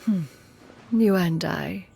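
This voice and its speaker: A woman's voice: lowish voice, slow, creaky, music in the background, exaggerated voice. An actor, a woman, voicing an aloof, wise immortal mentor in a video game, with formal archaic speech. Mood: grave, measured. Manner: formal, archaic speech, refers to self as one. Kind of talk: scripted video game voice acting.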